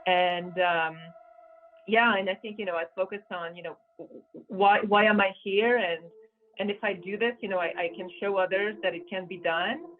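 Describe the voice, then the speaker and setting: A woman's voice: mid-range voice, fairly even intonation, moderate pace, narrow, tinny audio, faint music behind. A woman in her thirties from Poland, raised in France, speaking as an interview guest in casual conversation, with frequent fillers and restarts. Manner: frequent fillers and repeated words, earnest. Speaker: guest, business consultant and former volleyball captain.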